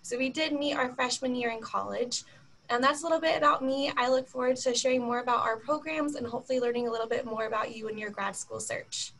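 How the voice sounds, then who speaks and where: Higher-pitched young woman, creaky. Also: fast, a bit bright. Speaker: admissions counselor. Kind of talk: self-introduction in webinar. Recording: noisy video-call audio, room ambience.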